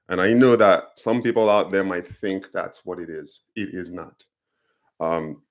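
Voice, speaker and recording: A Nigerian man talking casually to camera, explaining things earnestly, with plenty of ums and restarts. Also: deep voice. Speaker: commentator.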